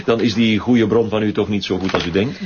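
A man with a Flemish accent playing a defensive, evasive shelter director in a radio crime drama, formal and smoothly insistent. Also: fairly low pitch, fairly even intonation, brisk, close to an everyday voice. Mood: smooth, dismissive. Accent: Flemish Belgian. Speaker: voice actor in a detective serial. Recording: dull radio recording with clear noise.

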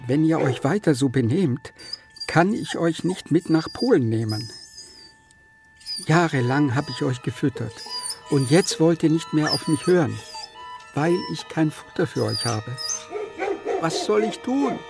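Weary townsman, plainspoken, gravelly, animated intonation, radio play. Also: on the high side, a little slow, pauses often, over music. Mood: reproachful. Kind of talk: German radio play acting.

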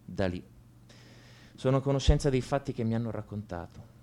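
Un attore che interpreta un liceale tormentato e amareggiato, in un monologo confessionale di radiodramma, con enfasi riflessiva. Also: male voice, mid-range voice, a little fast, a little mellow, close to an everyday voice. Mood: grave, subdued. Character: brooding male high school student confessing to violence. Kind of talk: radio drama monologue, first-person narration.

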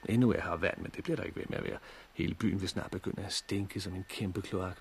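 An actor playing a practical, courteous man surviving a catastrophe in a 1960s radio drama, with measured, matter-of-fact delivery. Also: sits low, a little hiss or hum. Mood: grave.

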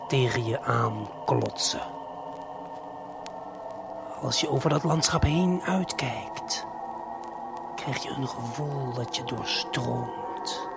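A man narrates a surreal audio play straight to the listener, with intimate, deliberate, hypnotic phrasing. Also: medium pitch. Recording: music under the voice. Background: music.

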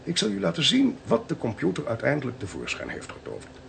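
A shrewd police chief in a Dutch radio play. His delivery swoops dramatically up and down, with a gravelly creak in it. He is deliberate, wry and theatrical, over a faint hiss. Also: a little high, huge pitch swings, full character voice. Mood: triumphant, explanatory. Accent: Dutch (Netherlands).

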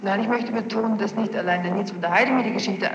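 An old, noisy recording made in a reverberant courtroom hall. A young woman gives testimony as a witness, speaking rapidly. Her answers sound defensive and insistent. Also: mid-range voice, steady tone. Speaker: witness being questioned in court. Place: large echoing courtroom. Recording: noisy muffled archival courtroom tape, echoing hall.

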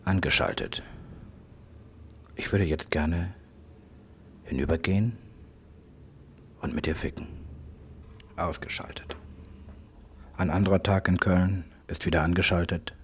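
A man recording an improvised spoken diary on tape in short, halting fragments. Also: low-pitched. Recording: faintly noisy tape recording.

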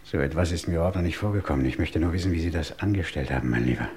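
An actor playing a stern, exasperated police inspector, brusque and insistent, in an old German radio crime drama. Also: male voice, a bass voice, very fast, a little mellow.

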